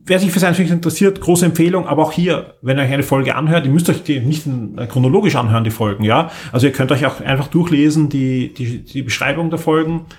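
A man's voice: on the high side, fast talker, few pauses, darkish tone. A man chatting casually as a podcast co-host, explaining things in an enthusiastic, rambling way. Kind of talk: casual podcast chat, recommending audio dramas.

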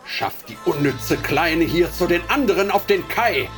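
An actor playing a cruel, greedy ship captain and slave trader in a radio play, sneering and menacing. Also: male voice, a little high, animated, unhurried, music in the background.

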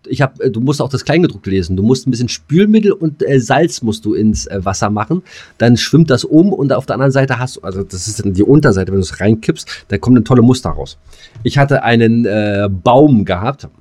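A man's voice: mid-range voice, lively intonation, fairly quick, a little mellow, no room sound. A man chatting as a podcast co-host in a loose, rambling, hesitant way with some crude slang. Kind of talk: casual podcast chat.

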